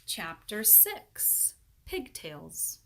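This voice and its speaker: A woman reading a children's book aloud as expressive narration, acting out the dialogue in an animated way. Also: medium pitch, drawn-out words, creaky, slight background noise.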